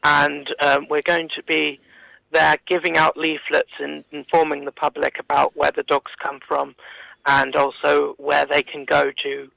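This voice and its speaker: Male radio interview guest, heavy vocal fry, little pitch movement, hesitant campaigner delivery. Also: monotone, a little slow, bright, forceful tone, no echo, poor audio. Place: radio studio.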